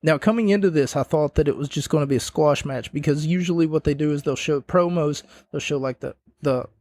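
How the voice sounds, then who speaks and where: A man hosting a podcast, casually recapping wrestling matches in a rambling way with sarcastic asides, his voice starting to go hoarse. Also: fairly high pitch, fairly quick, darkish tone, no room sound, studio quality.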